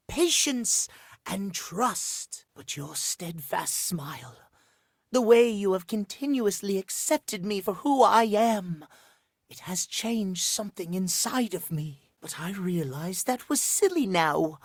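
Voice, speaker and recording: Husky, fastidious, courtly gentleman. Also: sits high, no echo, studio quality, full character voice. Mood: anxious, confessional. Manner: formal, courtly, earnest, theatrical. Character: fastidious, tightly wound gentleman obsessed with timepieces. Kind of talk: video game character acting.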